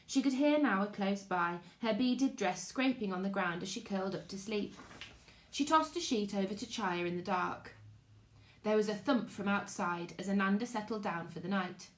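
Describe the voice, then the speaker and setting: A woman, a teacher, giving an expressive live read-aloud of a children's story and chatting to her listeners. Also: mid-range voice, moderate pace. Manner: expressive storytelling with character voices. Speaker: teacher reading to pupils online. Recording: echoey room.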